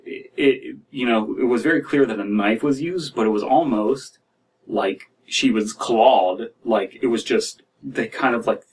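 A man in his thirties reading and reacting to stories as a podcast host, in a halting, wry, casual way. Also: medium pitch, slightly rough, distant mic. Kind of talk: casual commentary and story reading. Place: small dim room indoors.

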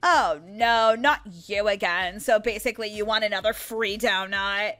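A woman's voice: mid-range voice, moderate pace, bright, forceful tone, no room sound, soft background music, close to an everyday voice. A woman voicing a rude, bored young female cashier in a video game roleplay, dismissive and sarcastic. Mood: scornful.

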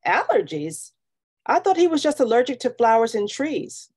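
A woman's voice: on the high side, sing-song, moderate pace, darkish tone, breathy edge, pitched above the performer's own voice. A woman voicing an eager, pleading young girl in a children's storybook. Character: excited pleading young girl who wants a dog.

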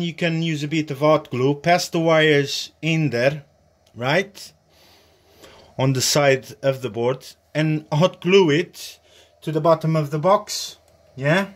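A man giving an informal hands-on demonstration as a tutorial host, speaking in a halting, hesitant way. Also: on the high side. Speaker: host of a hobby radio tutorial. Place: small indoor workbench area.

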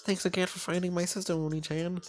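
Man putting on a high, sweet anime schoolgirl. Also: level tone, quick pace, faint music behind, lightly acted. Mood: grateful. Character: sweet, shy teenage anime stepsister gymnast.

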